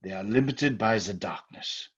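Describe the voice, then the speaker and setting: Man acting a husky, grave doctor. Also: lowish voice, moderate pace, highs cut off. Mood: grave warning. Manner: earnest, commanding, solemn. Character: grave, resolute male doctor facing supernatural siege. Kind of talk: old-time radio horror acting.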